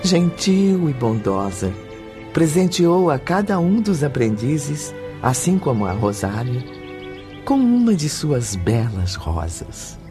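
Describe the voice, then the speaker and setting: A male radio drama narrator with a Brazilian accent reads literary prose with a lively, swinging pitch. Music plays clearly behind him. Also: takes it slowly.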